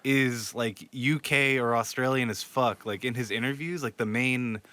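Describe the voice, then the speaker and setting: A man's voice: medium pitch, fairly even intonation, moderate pace, bright, forceful tone, smooth. A young man from the area, hosting a podcast in casual chat, laid-back, swearing often and laughing a lot. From Philadelphia area, USA. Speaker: podcast host.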